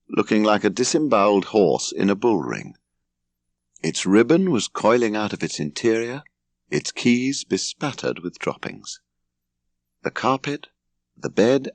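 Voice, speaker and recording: Male audiobook narrator, English accent: low-pitched, gravelly, highly expressive storytelling, recorded dry. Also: no room sound.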